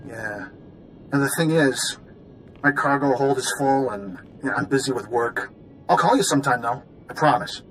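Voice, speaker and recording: A man's voice: medium pitch, normal speed, fairly bright tone, slight background noise, natural voice, rough recording. An actor playing a slick, callous con man in a video game, with a glib, smug manner. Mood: smug, dismissive.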